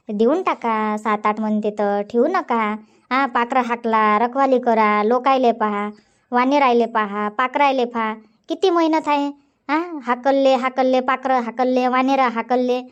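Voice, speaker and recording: A woman's voice: on the high side, normal speed, highs cut off, lightly acted. A woman voicing a bossy, chatty village farm woman in a cartoon comedy, insistent and scolding, with a Varhadi Marathi accent from Vidarbha. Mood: chatty, grumbling. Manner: insistent, scolding, rhetorical questioning.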